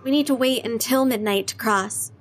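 A woman's voice: fairly high pitch, moderate pace, husky, faint music behind. An actress playing a young woman in a horror audio drama, a game but uneasy best friend with a casual, wry way of talking. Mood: wry, anxious.

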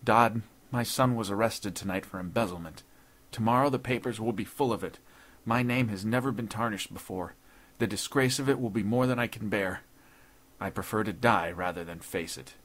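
A man narrating a story plays an older judge, proud and scheming. His speech is formal, deliberate and earnest, with a grave, desperate edge to it. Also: fairly low pitch, fairly bright tone. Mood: despairing.

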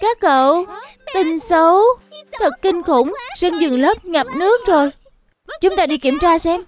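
A performer voicing an earnest, excitable young bear cub in a children's cartoon dub, with simple childlike delivery. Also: extremely high pitch, measured pace. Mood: worried.

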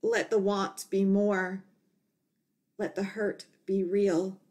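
A woman poet reading her poetry aloud in short, deliberate phrases with pauses. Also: mid-range voice, level tone, a little mellow.

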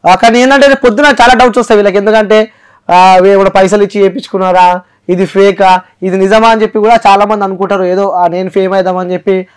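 Male interview host, high voice, rapid delivery, Telangana accent, emphatic explainer, mixes in English. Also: fairly even intonation, smooth. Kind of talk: news-channel interview hosting, explanatory.

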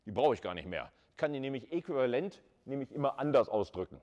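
A man's voice: medium pitch, animated, fast, darkish tone, muffled recording. A man lecturing at a university in an informal, conversational way, thinking aloud and putting questions to students.

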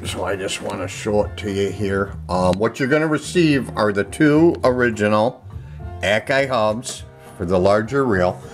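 A man giving a casual, unscripted product demonstration in a plainspoken, halting way from behind a handheld camera indoors. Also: fairly low pitch, lively intonation. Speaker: online seller demonstrating an item. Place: indoors, room in a home. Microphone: handheld camera, speaker off screen behind it. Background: music playing from audio equipment.

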